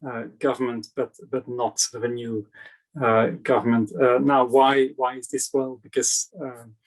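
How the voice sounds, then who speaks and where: Halting Belgian scholar lecturing, man. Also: medium pitch, level tone, rough recording. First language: Dutch. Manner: halting, many fillers and restarts. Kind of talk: academic video-call lecture. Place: home in England, on a video call. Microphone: video call.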